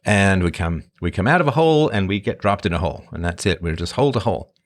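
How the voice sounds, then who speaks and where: Middle-aged man, lowish voice, rambling casual monologue, conversational host. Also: lively intonation, fast. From born in Ireland, grew up in England. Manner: rambling, conversational, emphatic swings in pitch. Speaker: podcast host answering live chat.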